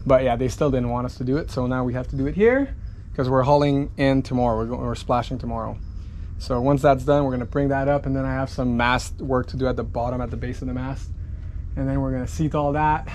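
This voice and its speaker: A man's voice: mid-range voice, fast, darkish tone, slight background noise. A Canadian man in his thirties with a laid-back, slangy, sweary way of talking, chatting casually to camera as a sailing vlogger. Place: outdoors in a boat yard among hauled-out boats.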